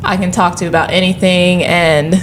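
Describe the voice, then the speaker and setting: A woman's voice: lowish voice, level tone, normal speed, slight background noise. A young woman from Atlanta hosting a podcast in a friendly, chatty way, talking in loose run-on thoughts. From Atlanta, Georgia, USA. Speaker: podcast host. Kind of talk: casual podcast chat.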